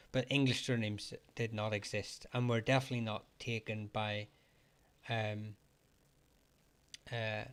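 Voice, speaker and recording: A man hosting a live chat, talking haltingly with many fillers and restarts, his voice getting hoarse as he keeps clearing his throat. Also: on the low side. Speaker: livestream host answering surname questions. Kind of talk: casual live-chat talk.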